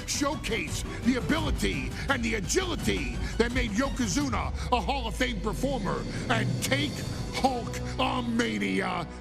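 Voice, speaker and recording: A man's voice: very high voice, animated, unhurried, creaky, loud music behind the voice. A man delivering dramatic, theatrical scripted narration that hypes a showcase. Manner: theatrical, hyping.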